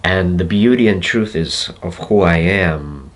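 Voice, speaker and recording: A man with a Russian accent, a native Russian speaker, talking slowly and reflectively to camera and pausing to search for words. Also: sits low. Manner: slow, deliberate, searching for words with pauses. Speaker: self-development video host. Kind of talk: slow reflective monologue to camera.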